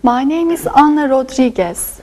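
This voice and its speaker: High-voiced woman student from Spain. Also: animated, measured pace, warm tone. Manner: plain, scripted self-introduction. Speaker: student in a language lesson.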